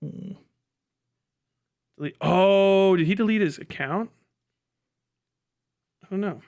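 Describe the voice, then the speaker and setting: A man's voice: high voice, slow, gravelly, darkish tone. A man doing casual livestream commentary, swearing and muttering to himself at a close mic. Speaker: livestream host reacting to a video.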